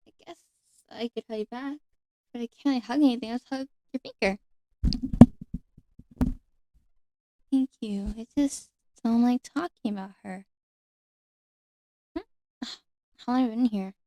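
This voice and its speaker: A woman voicing a lonely, ancient nature fairy, sweet and timid, speaking haltingly and sometimes whispering in an ASMR roleplay. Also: on the high side, mellow, no room sound, natural voice, low-quality recording. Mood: timid, tender. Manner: hesitant, halting, apologetic, often whispering. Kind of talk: ASMR roleplay, one-sided conversation with the listener.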